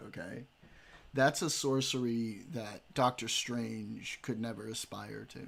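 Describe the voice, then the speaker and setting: A man's voice: medium pitch, vocal fry. A young adult man streaming, talking in a loose, joking, profane way while reacting to a debate. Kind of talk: casual livestream commentary.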